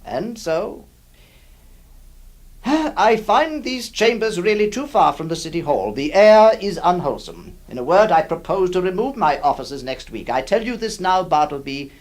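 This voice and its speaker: A man's voice: high-pitched, very animated, normal speed. A man voicing an exasperated lawyer who pleads and stammers with his stubborn clerk in an audio drama. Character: exasperated middle-aged male lawyer employer.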